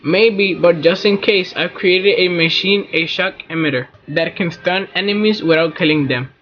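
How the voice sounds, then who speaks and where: A man's voice: sits high, normal speed, muffled recording, natural voice. A man voicing a cautious, earnest inventor who warns his queen.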